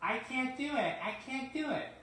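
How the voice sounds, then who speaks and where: A man's voice: very high voice, expressive, moderate pace, pushed and bright, heavy reverb, a little hiss or hum, pitched above the performer's own voice, exaggerated voice. A man voicing a sulky, put-upon steam engine in a children's story, whiny and exclamatory. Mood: exhausted, pleading.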